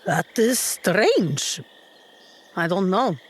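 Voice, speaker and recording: A woman's voice: on the low side, very animated, drawn-out words, slightly rough, heavily acted. A woman playing a very tall, composed and wary female adventurer in a tabletop game.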